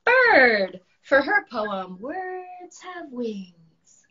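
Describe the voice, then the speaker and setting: A woman voicing a storybook llama who announces contest winners in a drawn-out, ceremonious way, slightly dull sound. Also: high voice, big pitch swings, pushed and bright, a little hiss or hum, pitched above the performer's own voice, full character voice.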